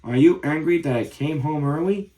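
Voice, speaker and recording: Man voicing a gentle young raccoon boy. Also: a little high, moderate pace, natural voice, poor audio. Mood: sleepy.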